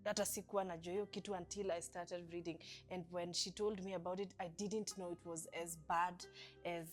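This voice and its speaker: A young woman with a Kenyan accent hosting a talk show, lively and casual, slipping into Swahili slang. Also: on the low side, brisk, soft background music. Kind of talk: casual interview chat.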